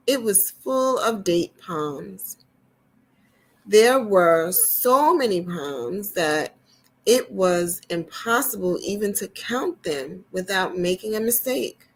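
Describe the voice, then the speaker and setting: A woman hosting a children's storytime, reading deliberately and emphatically, with chatty asides. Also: mid-range voice, rough recording. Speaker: storytime host and reader.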